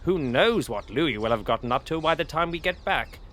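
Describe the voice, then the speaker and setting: A man's voice: fairly high pitch, lively intonation, moderate pace, fairly bright tone. Man acting as a fussy stern teacher, scolding, voice up n down a lot, kids audio drama, faint noise and birds. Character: stern, fretful adult schoolteacher, a male professor.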